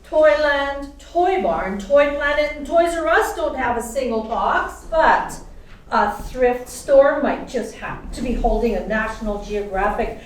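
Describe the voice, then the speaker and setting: An actress playing a wry, caring young wife in a radio play, theatrical and deliberate in delivery. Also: female voice, high voice, animated. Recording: echoey room, distant microphone, background noise.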